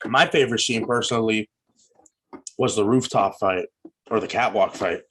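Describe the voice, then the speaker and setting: A man's voice: fairly low pitch, normal speed, fairly bright tone, breathy edge. A man co-hosting a podcast, chatting casually in a slangy, joking, playfully nitpicky way. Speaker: podcast co-host. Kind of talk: casual banter reviewing a movie.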